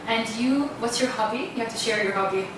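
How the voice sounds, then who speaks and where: A woman's voice: fairly high pitch, a little fast. A woman interviewing guests in a chatty way, asking prompting questions and laughing often. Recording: echoey room, far from mic, background noise.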